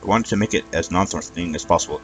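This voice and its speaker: Cheeky young magical creature, read by a man, self-justifying and keen, low voice. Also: very fast, a bit bright, soft background music. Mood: eager, defensive. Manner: eager, defensive. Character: cheeky young dream creature, eager, defensive child.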